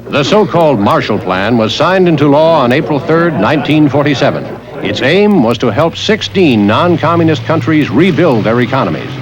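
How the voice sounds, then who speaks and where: A man's voice: a little high, very animated, moderate pace, muffled recording, crowd noise. An American man narrating history from a script in the manner of a newsreel documentary.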